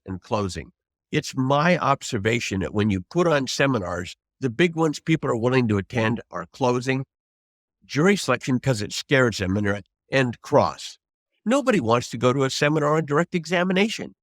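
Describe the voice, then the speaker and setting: Man, 70s, veteran lawyer mentoring peers: emphatic, lively swings in pitch, dry close sound. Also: dry recording. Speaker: veteran trial lawyer, guest. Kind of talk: unscripted advice to fellow lawyers.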